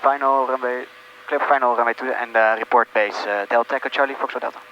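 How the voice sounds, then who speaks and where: A man piloting a small aircraft, giving casual, halting cockpit commentary and radio calls over a headset. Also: medium pitch, fairly even intonation, lo-fi sound. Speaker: pilot narrating his own flight. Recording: noisy cockpit with engine hum.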